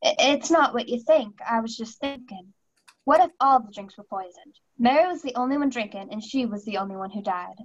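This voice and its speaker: A woman's voice: a little fast, natural voice. A young woman playing a worried, thoughtful party guest in a radio play. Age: young adult. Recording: dull, muffled low-fidelity audio.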